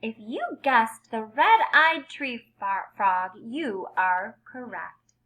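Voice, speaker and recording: A woman with a fairly high voice takes her time reading aloud to kindergarteners. She speaks slowly and expressively, like a teacher giving a lesson, and puts things in simple, clear words for small children. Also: animated, sharp tone.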